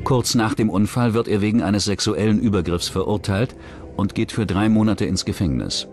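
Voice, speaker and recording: Low-voiced male documentary narrator. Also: moderate pace, music in the background. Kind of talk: scripted true-crime narration.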